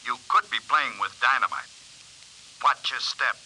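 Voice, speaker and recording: An actor playing a skeptical newspaper editor, clipped and brusque, in 1950s radio drama style. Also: male voice, mid-range voice, normal speed, close to an everyday voice, rough recording. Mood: wary, commanding. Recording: hissy 1950s radio recording.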